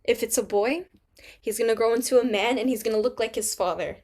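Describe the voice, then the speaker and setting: A woman's voice: a little high, close to an everyday voice. An actress as a grieving young pregnant woman. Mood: hopeless. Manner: halting, trailing into quiet, near-whispered confessions. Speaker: actor in a staged play reading. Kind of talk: staged play reading.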